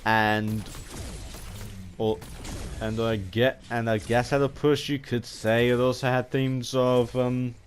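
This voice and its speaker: A British man giving a rambling video game commentary, haltingly, often repeating and rephrasing his phrases as he goes. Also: medium pitch, level tone, a little hiss or hum.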